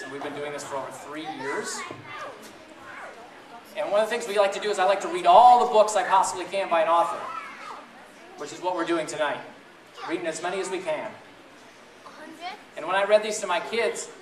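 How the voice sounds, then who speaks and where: A man reading aloud and talking to a group of families in a theatrical, playful way, often putting questions to his listeners. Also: fairly high pitch. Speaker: children's book reader and blogger. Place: large echoey indoor room at a school event. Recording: echoey noisy room, distant mic.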